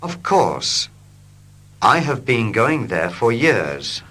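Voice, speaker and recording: A man reading short English dialogues slowly and clearly for learners, line by line. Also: medium pitch. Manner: slow, clear and expressive. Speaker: English teacher. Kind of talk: slow scripted reading for learners.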